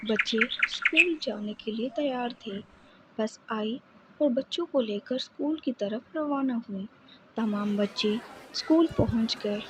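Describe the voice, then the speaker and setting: A woman's voice: on the high side, measured pace, a little hiss or hum, poor audio. A woman reading a scripted children's story as its narrator.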